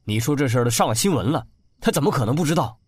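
A man's voice: mid-range voice, expressive, very fast, breathy edge, dry recording. An actor playing a reflective, tender man looking back on his life in a Mandarin radio drama. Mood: excited, bantering. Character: man wistfully narrating a lost past, warm husband and father. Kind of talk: Mandarin radio drama acting with inner monologue. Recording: music under the voice.

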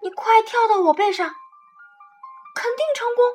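A woman voicing an eager, confident little fox in a children's storybook, urging a friend on excitedly. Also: extremely high pitch, moderate pace, faint music behind, pitched above the performer's own voice.

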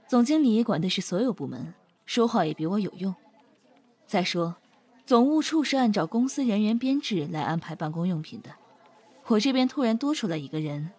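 Cool, self-possessed female finance director, mature and shrewd, slightly husky mid-range voice, measured delivery. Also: medium pitch, a little mellow. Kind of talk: modern audio drama acting with inner monologue.

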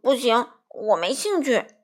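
A woman voicing a stubborn, grumbling animal friend in a children's story, huffy and quick to retort. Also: on the high side, sing-song.

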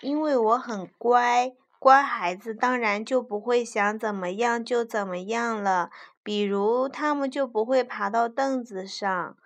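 Prim, slow-talking park bench, voiced by a woman. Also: a little high.

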